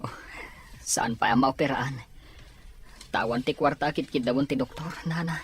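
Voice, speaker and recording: A man's voice: mid-range voice, moderate pace, faint music behind, lightly acted. A man with a Filipino accent playing a poor, worried and respectful young son in an audio drama.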